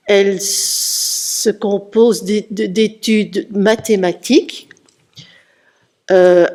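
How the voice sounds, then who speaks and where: A woman presents a poet to an audience, walking through his life story in measured, explanatory sentences. Her voice sits at a middle pitch, and her pace is unhurried. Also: warm tone. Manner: measured, explanatory, reading out a biography. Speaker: presenter, interviewer. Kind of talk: literary presentation.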